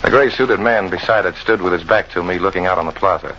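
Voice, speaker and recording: Very deep-voiced, cynical American jungle guide. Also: male voice, fast talker, fairly bright tone. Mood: wry. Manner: wry, tough, slangy. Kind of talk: hard-boiled 1940s radio drama narration and acting. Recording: muffled old radio recording, clear noise.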